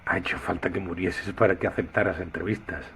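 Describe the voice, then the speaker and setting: A bumbling, nosy radio host acted by a man talks in a low, informal voice. He is chatty and hesitant, over faint background noise, as in a comedy sketch. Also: steady tone, a little fast, vocal fry, bright, forceful tone, full character voice. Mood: chatty, curious. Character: bumbling, nosy male radio interviewer caught off guard on air. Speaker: interviewer.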